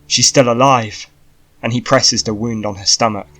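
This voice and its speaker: A man's voice: medium pitch, steady tone, moderate pace, bright, forceful tone. A man narrating a scripted fantasy audiobook in a dramatic storytelling style.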